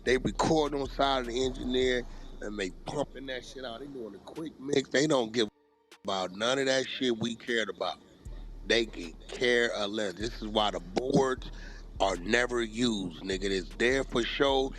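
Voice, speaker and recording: A man's voice: medium pitch, moderate pace, fairly bright tone. A man in a heated podcast debate, talking bluntly and profanely about the music business.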